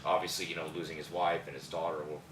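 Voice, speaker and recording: Deep american guy rambling on quick and animated, far from mic in an echoey room. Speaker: actor at a table read. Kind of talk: casual group discussion. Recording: distant mic, echoey room, background noise.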